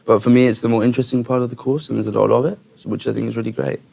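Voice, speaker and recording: A man's voice: mid-range voice, little pitch movement, very fast, vocal fry, darkish tone. A young man from England, a student guest, chatting with hesitant restarts and rambling asides. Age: young adult. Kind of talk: casual chat.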